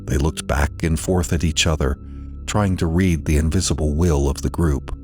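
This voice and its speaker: A man's voice: a bass voice, fairly even intonation, moderate pace. A man narrating a dramatized first-person horror story, with music behind him.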